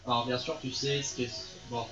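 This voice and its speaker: A man's voice: mid-range voice, little pitch movement, normal speed, a bit bright. A man chatting casually during a game stream, guiding and teasing a friend in an informal way. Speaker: streamer guiding a friend through a visual novel. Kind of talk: casual live gaming banter with read-out dialogue. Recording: echoey room with music behind.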